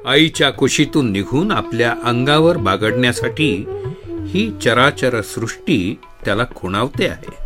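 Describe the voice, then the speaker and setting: A venerable sage telling a devotional tale to followers. He speaks slowly and reverently with an animated melody, while music plays clearly underneath. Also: mid-range voice. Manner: measured, reverent, expressive. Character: venerable male sage preaching Krishna's story to devotees. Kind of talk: devotional discourse, storytelling.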